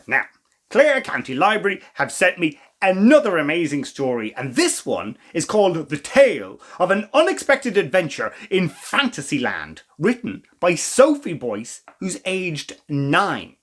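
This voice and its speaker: A man reading a children's story as a storytime host, with expressive, theatrical emphasis and asides to the listeners. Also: fairly high pitch, unhurried. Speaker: storytime reader.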